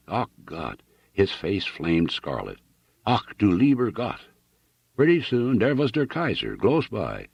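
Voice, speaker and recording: A man's voice: lowish voice, slightly rough, no echo, voice pushed up, full character voice. A man voicing a middle-aged German immigrant farmer with a heavy German accent, speaking broken English full of German exclamations. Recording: dull dry lo-fi recording.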